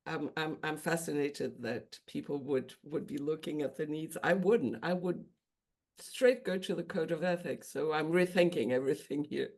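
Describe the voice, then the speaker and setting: A woman's voice: low-pitched, moderate pace, a little mellow. A woman coaching supervisor reflecting aloud, stumbling and questioning her own approach.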